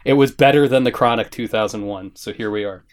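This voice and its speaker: A man hosting a casual, comedic panel chat, animated and joking, often restarting his sentences. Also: medium pitch, brisk, a little hiss or hum. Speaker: podcast host.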